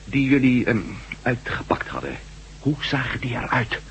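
An actor playing an eager, uneasy reporter in a radio drama, probing with questions and stammering slightly. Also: male voice, mid-range voice, sing-song, moderate pace, a bit bright, dull audio, slight background noise.

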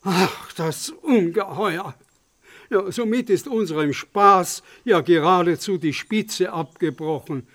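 A man's voice: sits high, slow pace, a bit bright. An actor playing a blustery father of a genteel household in a radio drama, exclaiming in theatrical, old-fashioned diction. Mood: indignant, dismayed. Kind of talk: German radio drama acting.

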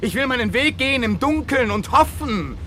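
An actor playing an anguished, pleading man in a radio drama, with a husky voice and impassioned theatrical delivery. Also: very high voice, animated, normal speed, fairly bright tone, a little hiss or hum. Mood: desperate pleading. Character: Mario, anguished man torn between knowing and hoping.

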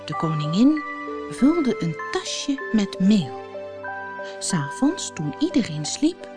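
Slow, very expressive woman storyteller. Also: medium pitch. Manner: expressive, dramatic. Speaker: audiobook storyteller. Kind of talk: scripted fairy-tale narration.

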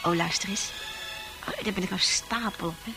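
An actress playing a forward, coaxing woman who is a bar regular in a Dutch radio drama, familiar and expressive. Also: medium pitch, normal speed, close to an everyday voice, poor audio. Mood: flirtatious. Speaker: actor in a radio play. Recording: music playing behind.

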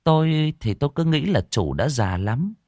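A stern middle-aged village chief, as a man puts her on, questioning in a formal, probing tone with her voice lifted a little higher. It is horror-story narration, dry and close. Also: big pitch swings, normal speed, darkish tone, dry recording.

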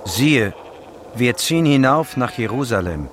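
A man playing a solemn prophet reciting scripture in a radio drama, in a measured manner. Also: mid-range voice, lively intonation, a little hiss or hum, lightly acted. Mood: solemn prophecy. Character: Jesus prophesying his death and resurrection, solemn.

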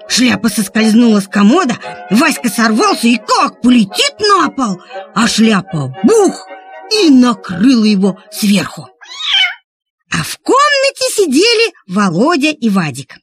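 Female children's storyteller: high, expressive, unhurried delivery, music underneath. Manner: expressive, theatrical, drawn-out emphasis. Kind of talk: scripted children's story narration.

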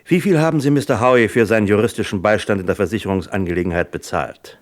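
An actor playing a shrewd, unhurried American detective in a German radio crime drama, questioning people in a slyly casual, probing way. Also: male voice, on the low side, natural voice. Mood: firm, probing.

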